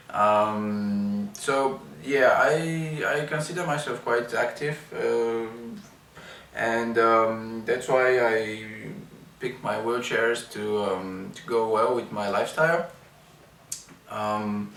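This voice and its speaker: Halting man speaking in an echoey room. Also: mid-range voice, fairly bright tone. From Bulgaria. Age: 30s. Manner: many ums and uhs, unhurried and halting. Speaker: vlogger reviewing his wheelchair. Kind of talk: casual first-impressions review. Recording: echoey room, mic at a distance, faint hiss.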